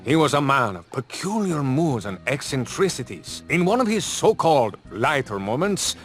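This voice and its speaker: An actor playing a pompous, wounded champion diver in a video game, telling things in florid, theatrical style. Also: male voice, mid-range voice, sing-song, normal speed, soft background music, natural voice. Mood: pompous, reminiscing.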